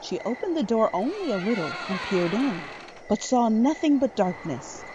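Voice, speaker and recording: Female drama narrator, medium pitch, animated phrasing, scripted read, slightly lo-fi with faint night noise. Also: normal speed.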